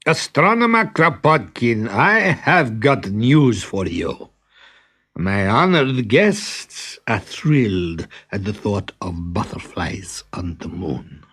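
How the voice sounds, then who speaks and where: Storybook villain prince: haughty, bullying, deliberate and commanding, raised animated delivery. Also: male voice, lowish voice, husky, exaggerated voice. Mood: smug. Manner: imperious, clipped commands. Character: haughty, sneering, bullying prince, quick to anger. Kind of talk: storybook character acting.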